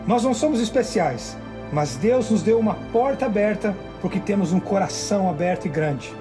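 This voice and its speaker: High male voice, earnest testimony voice-over, music bed underneath. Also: expressive, normal speed. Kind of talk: testimony voice-over in a ministry video. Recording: ministry video with music.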